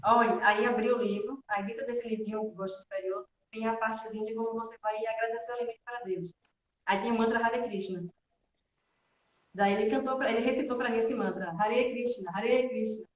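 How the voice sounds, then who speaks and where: A woman with a northeastern Brazilian accent, a guest telling personal stories casually and conversationally, often checking for agreement. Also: a little high. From Salvador, northeastern Brazil. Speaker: interview guest, devotional student. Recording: roomy echo, distant from microphone.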